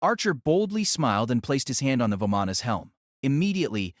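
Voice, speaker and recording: A man's voice: medium pitch, dry recording, studio quality. A man giving solemn, theatrical scripted narration of a fantasy novel.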